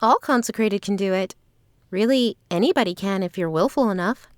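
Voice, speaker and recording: A woman's voice: mid-range voice, fairly quick, no echo, clean studio sound, lightly acted. A woman playing an earnest, confident traveling performer in a fantasy audio drama. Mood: matter-of-fact.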